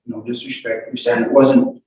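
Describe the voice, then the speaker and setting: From a distance in a heavily reverberant room, a man puts questions to a witness. He is careful and persistent, restarting his phrases haltingly as he builds each courtroom question. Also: medium pitch, little pitch movement, darkish tone. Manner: halting, repeats openings, careful but persistent. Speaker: questioner cross-examining a witness at a court hearing. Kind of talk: courtroom cross-examination. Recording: very echoey room, distant mic.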